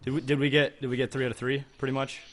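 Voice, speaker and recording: A man's voice: medium pitch, little pitch movement, very fast, a crowd in the background. A man co-hosting a casual, chatty sports interview. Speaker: podcast co-host.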